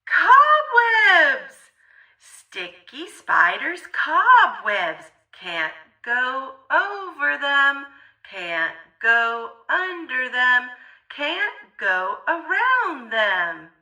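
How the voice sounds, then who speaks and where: A woman reads a picture book to small children with big, theatrical expression. Her voice is high and extremely bright, with a rhythmic sing-song lilt. She sounds set back from the microphone. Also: huge pitch swings, very slow, recorded from a distance. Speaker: children's storybook reader. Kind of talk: theatrical read-aloud for young children.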